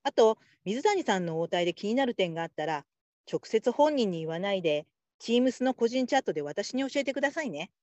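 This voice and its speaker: Woman acting a lively-pitched, patient female supervisor. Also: fairly quick, no room sound, dull audio, close to an everyday voice. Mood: composed, firm. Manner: calm, encouraging, politely instructive. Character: patient, supportive female call-centre supervisor. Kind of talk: Japanese radio drama acting.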